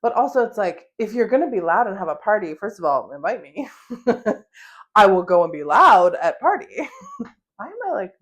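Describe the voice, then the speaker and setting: A young American woman hosting her own podcast, talking casually and excitedly with lots of fillers and laughs. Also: mid-range voice, moderate pace, lo-fi sound. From United States. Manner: chatty, animated, with frequent laughs and fillers. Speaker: podcast host. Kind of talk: solo casual podcast monologue.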